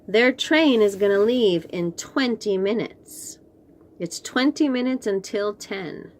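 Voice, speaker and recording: A woman hosts a read-aloud for young children. Her pitch moves in a lively way and her pace is unhurried. She is expressive and encouraging, stepping out of the narration for chatty, teacherly asides. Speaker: children's story reader and host.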